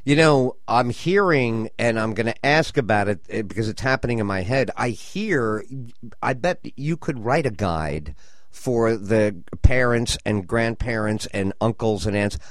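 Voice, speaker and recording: A man's voice: lowish voice, lively intonation, a little slow, no echo, clean studio sound. A man hosting a conversational radio talk show, with an effusive manner and dramatic phrasing.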